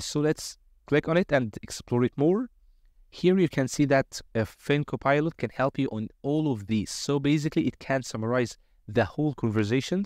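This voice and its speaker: Male tutorial presenter, mid-pitched, semi-improvised step-by-step walkthrough, close and dry voice-over. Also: dry recording.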